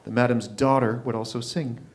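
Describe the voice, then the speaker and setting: A man reading a literary short story aloud in a measured, expressive narrating style. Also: mid-range voice. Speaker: reader in a readers' theatre.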